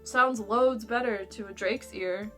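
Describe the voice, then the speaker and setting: A woman's voice: a little high, unhurried, bright, forceful tone, faint music behind. A woman playing a blunt, sardonic young female dragon in a fan fiction audio drama, brusque and casual in manner. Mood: wry, blunt.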